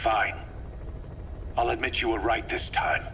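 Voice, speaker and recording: An actor playing a weary, defensive American base commander, bitter yet protective, in a video game drama, earnest and brooding, on a tape recording. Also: male voice, fairly low pitch, fairly even intonation, measured pace, creaky, a bit bright, dull audio. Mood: defensive, reporting. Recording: faintly noisy cassette tape recording.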